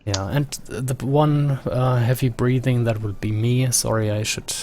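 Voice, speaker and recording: A man's voice: mid-range voice, fairly even intonation, moderate pace, darkish tone, soft background music. A man from Germany casting a strategy game match in an easygoing way, with many fillers and agreeing murmurs. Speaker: caster. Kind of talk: esports play-by-play commentary.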